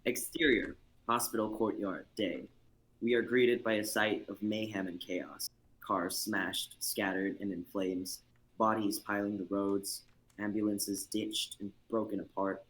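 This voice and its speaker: A man's voice: fairly low pitch, fairly even intonation, a little slow, fairly bright tone, slight background noise, poor audio. A young man narrating a screenplay as host of an amateur livestreamed reading. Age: young adult.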